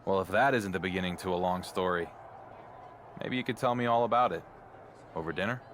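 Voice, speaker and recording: An actor playing a confident, cocky young wrestling champion in a video game cutscene. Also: male voice, low-pitched, a little fast, fairly bright tone, slight background noise. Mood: warm teasing.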